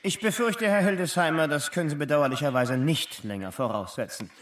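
An actor playing a deferential, wordy moderator of a literary panel in a radio play. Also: male voice, a little high, fairly quick, close to an everyday voice. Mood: politely exasperated. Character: polite, fussy male moderator of a literary panel. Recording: roomy, distant from microphone.

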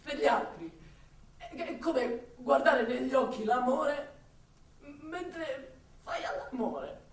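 An actress playing an impassioned woman torn between love and exasperation for her city, in a declamatory radio monologue with drawn-out, repeated words. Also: high voice, animated, exaggerated voice. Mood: passionate, urgent. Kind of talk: dramatic theatrical radio monologue. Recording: roomy echo, distant from the mic.

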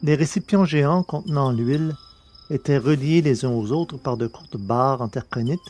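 Dark-toned male audiobook narrator. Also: medium pitch. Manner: measured, deliberate storytelling. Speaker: audiobook reader. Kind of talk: scripted narration of a science-fiction novel.

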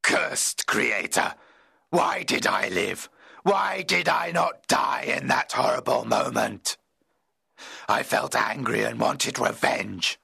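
Gravelly, anguished monster voiced by a man. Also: mid-range voice, animated, bright, forceful tone, no room sound, clean studio sound, exaggerated voice. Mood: anguished, vengeful. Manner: intense, deliberate, theatrical. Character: lonely, anguished outcast monster telling his own story. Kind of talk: dramatic audiobook narration.

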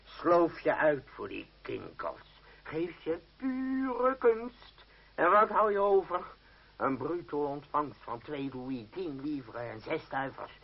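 An actor playing a vain, grumbling troupe leader who mutters and splutters theatrically in a radio play. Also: male voice, fairly high pitch, big pitch swings, slow pace, gravelly, slightly rough, full character voice. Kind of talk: radio drama acting. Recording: dull, muffled old radio play recording.

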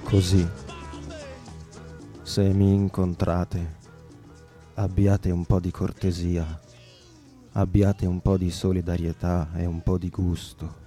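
A man acts out the Devil as a suave, sinister gentleman in a radio recitation. The voice is deep and unhurried, with a flat, courteous menace, over music. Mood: menacing. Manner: slow, courteous, menacing monologue. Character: suave, sinister male Devil boasting of his crimes. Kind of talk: dramatic recitation of translated song lyrics over music.

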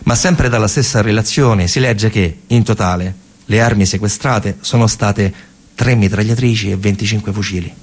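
A man tells a story as a radio theatre monologue. His voice sits low, with heavy gravel and a husky grain. He phrases deliberately and with emphasis. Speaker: narrator of a stage monologue.

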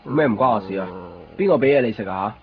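A man's voice: mid-range voice, lively intonation, quick pace, slight background noise, natural voice. A man with a Hong Kong Cantonese accent playing a possessive, brooding copy-shop owner in a radio drama, speaking curtly. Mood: threatening. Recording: dull, lo-fi 1990s radio recording.

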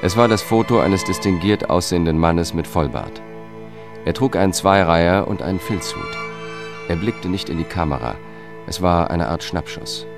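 A sober, observant investigator narrates a radio drama in a low voice. He is measured and matter-of-fact, over clearly audible music. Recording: music bed under the voice.

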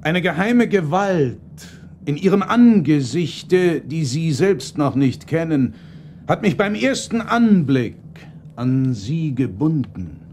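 An actor playing a mysterious, solemn magician in a radio play, speaking in a ceremonious, formal way. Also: male voice, a little high, sing-song, a little mellow, a little hiss or hum, heavily acted. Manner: ceremonious, measured, formal address. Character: mysterious solemn male magician, grand and secretive. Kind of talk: German radio play acting.

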